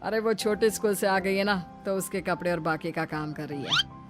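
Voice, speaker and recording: A woman's voice: mid-range voice, huge pitch swings, moderate pace, a bit bright, soft background music. A woman voicing a mild, fond father who placates others in a moral story. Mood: placating. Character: mild ailing father of a talkative daughter. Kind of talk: acted moral story dialogue.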